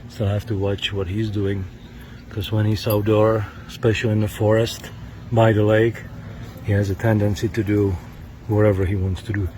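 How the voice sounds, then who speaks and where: A man with a heavy Czech accent chats casually through an outdoor product test, his voice sitting fairly low. He stops and restarts often, filling the pauses with fillers. The camera-mic recording carries clear outdoor noise behind him. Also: flat delivery, darkish tone. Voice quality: halting, many fillers and restarts. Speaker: product tester. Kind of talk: casual vlog narration. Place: outdoors on trails and beach.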